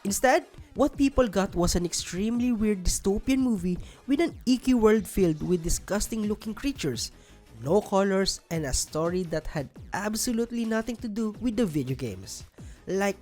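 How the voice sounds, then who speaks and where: A woman's voice: medium pitch, lively intonation, normal speed, darkish tone, faint music behind. A woman hosting a scripted countdown video, narrating and giving commentary. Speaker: host of a video list show.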